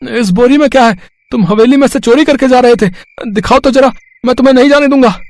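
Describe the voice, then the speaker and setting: A man's voice: extremely high pitch, fast talker, a little mellow. A man playing a poor, humble village farmer in a moral story, speaking earnestly. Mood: challenging. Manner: earnest, deferential pleading. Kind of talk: dramatised moral story acting.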